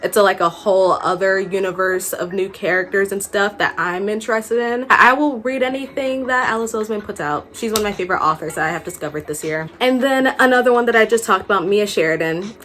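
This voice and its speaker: A woman's voice: medium pitch, fast talker, hardly any pauses, a bit bright, a little hiss or hum. A young adult woman chatting casually as a book vlogger, gushing and slangy, repeating words for emphasis. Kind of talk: casual chat to camera. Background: background music.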